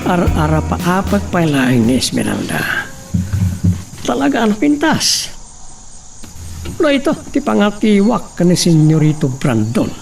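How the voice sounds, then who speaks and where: A man acting a scheming, obsequious henchman in Ilocano-accented radio drama, with a creaky voice and a distant, noisy recording. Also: on the high side, wildly swooping pitch, unhurried, long pauses, soft background music, heavily acted. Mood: sly, muttering. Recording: distant mic, faint noise and insects.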